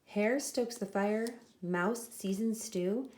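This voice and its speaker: A woman reading a children's picture book aloud expressively, with deliberate, dramatic emphasis on the sound words. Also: medium pitch, a little mellow. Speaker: storybook reader.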